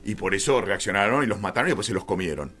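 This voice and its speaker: A man's voice: on the low side, expressive, rapid-fire, a bit bright, slightly rough. A man with a Rioplatense Argentine accent, a radio guest explaining a theory in an emphatic, digressive, conversational way, often correcting himself.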